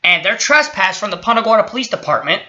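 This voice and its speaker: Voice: medium pitch, lively intonation, fast, pushed and bright, muffled recording. An indignant commentator giving impromptu, opinionated reactions, swearing and stressing points emphatically. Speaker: commentator reacting to a recorded call.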